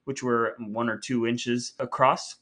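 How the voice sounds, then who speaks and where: Bright-voiced man hosting. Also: medium pitch, level tone, a little slow. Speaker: podcast host. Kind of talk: casual comic banter, partly dramatic reading of texts.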